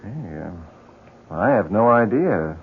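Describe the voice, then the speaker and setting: Husky, lively, affable neighbour man. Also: on the low side, slow, heavily acted. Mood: curious, puzzled. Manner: affable, hesitant, puzzled. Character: friendly, helpful, mild-mannered male neighbour. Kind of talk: 1970s radio drama acting. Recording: muffled, tinny 1970s radio broadcast.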